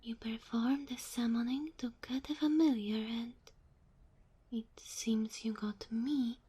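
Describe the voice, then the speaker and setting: A woman plays a sweet young kitsune girl in an ASMR roleplay, high-pitched and unhurried. She speaks softly and breathily, often dropping close to a whisper. Her manner is naive and curious, full of hesitant wonder. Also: steady tone, husky, full character voice. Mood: soft, impressed. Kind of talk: ASMR roleplay, soft acted monologue.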